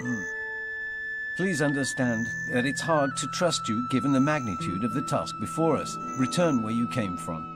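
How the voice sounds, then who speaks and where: A princess's haughty attendant in a fantasy game. He speaks formally and fussily, with swooping pitch and music underneath. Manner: formal, haughty, hesitant hemming. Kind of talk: video game cutscene acting. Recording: music behind the voice.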